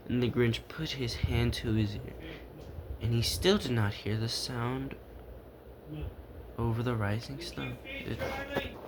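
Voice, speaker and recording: A man reading a children's story aloud in a halting way, stumbling over the text now and then. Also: mid-range voice, background noise.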